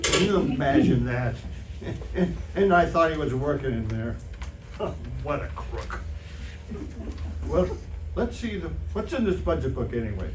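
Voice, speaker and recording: A man playing a gullible, excitable, wisecracking husband in an old-time radio comedy, with a folksy, stammering, exclamatory delivery. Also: a little high, a little slow, long pauses. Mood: excited. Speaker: amateur theater troupe actor. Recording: roomy, distant stage recording with faint noise.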